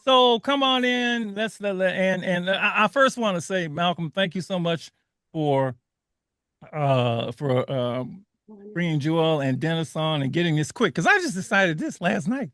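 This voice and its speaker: Male radio host, high and bright, casual and slangy, prone to laughing. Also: lively intonation, measured pace, dry recording, clean studio sound. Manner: laughs often, repeats words, street slang.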